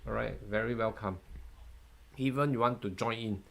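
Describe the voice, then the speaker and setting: A man's voice: fairly low pitch, normal speed, vocal fry, fairly bright tone. Upbeat middle-aged man streaming live. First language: Mandarin. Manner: chatty, upbeat, often laughing and thanking viewers. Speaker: forex trading educator. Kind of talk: live-stream chart analysis.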